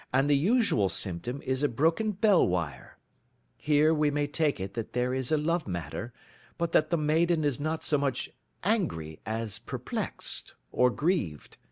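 A man reading aloud, voicing a sharp, self-assured detective who lectures with confidence. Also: medium pitch, very animated, measured pace, pitched above the performer's own voice.

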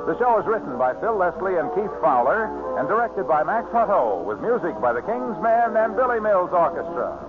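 Animated high-pitched male radio announcer, tinny vintage sound. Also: moderate pace, hardly any pauses, over music. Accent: American.

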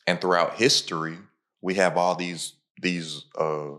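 Low-pitched male podcast host, casual and motivational. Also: fairly even intonation, a little slow, fairly bright tone. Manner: motivational, talks in repeated punchy phrases. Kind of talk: casual conversational podcast talk.

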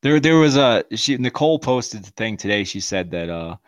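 A man's voice: fairly low pitch, expressive, normal speed, no room sound, highs cut off. A man co-hosting a crude comedy podcast, blunt and offhand. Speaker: podcast co-host. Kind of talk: crude casual chat.

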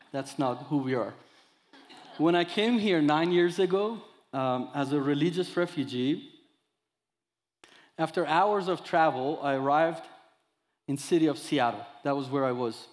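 A man in his thirties from Iran, speaking English with an Iranian accent, giving an expressive sermon that mixes scripture with his own testimony. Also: a little high, long reverb tail. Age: 30s. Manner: expressive storyteller, acts out dialogue, audience laughter. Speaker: guest speaker.

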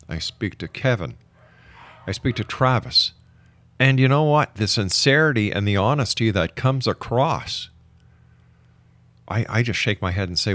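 A man's voice: lowish voice, a bit bright, dry recording. A man hosting an interview show, talking conversationally with his guest. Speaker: radio show host.

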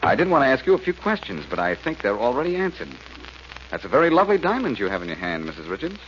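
A muffled, noisy old radio recording carries a tough male sleuth. He is cool, sardonic and commanding. His mid-pitched voice moves up and down freely as he delivers dry wisecracks. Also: big pitch swings, fast, a bit bright. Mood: wry confrontation. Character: cool, wisecracking tough amateur detective, adult man. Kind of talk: 1940s radio acting.

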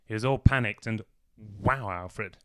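A steady young man speaks up firmly and takes charge, as a man reads him in a storybook. His voice is a touch louder and low, recorded dry and close. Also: a little slow, dry recording, lightly acted. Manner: firm and take-charge. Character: calm, level-headed young man roused at dawn.

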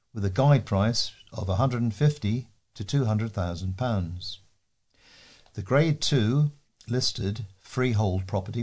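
A man's voice: fairly low pitch, darkish tone. A man with a British accent reading scripted local news as a volunteer newsreader.

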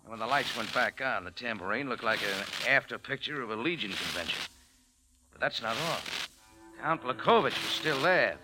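An actor with an American accent playing a tough, wry bar owner, wisecracking and narrating in 1940s radio noir style. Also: male voice, mid-range voice, animated, moderate pace, background noise, close to an everyday voice. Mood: dry, narrating. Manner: hardboiled, wisecracking, slangy.

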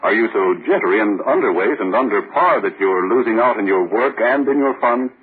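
A man working as a solemn 1940s radio announcer, with a measured, ominous delivery. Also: lowish voice, animated, husky. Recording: thin, narrow-band 1940s radio broadcast.

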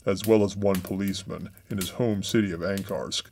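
Low-voiced man narrating true crime. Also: steady tone, fairly quick, vocal fry, a little mellow, a little hiss or hum. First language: English. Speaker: podcast host.

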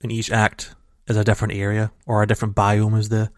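A man with a fairly low voice co-hosts a casual gaming podcast, wandering through his thoughts with plenty of restarts and fillers. His audio is dry and raw, taken straight from a home computer mic with no room sound. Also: monotone, fast talker, no room sound. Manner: rambling, many restarts and fillers. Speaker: podcast co-host. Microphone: home computer mic over a call.

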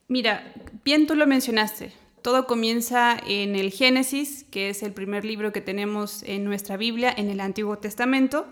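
A woman from Chile with a mixed Chilean and Mexican Spanish accent, a podcast guest patiently explaining Bible terms and laughing easily. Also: on the high side. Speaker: guest, theology teacher.

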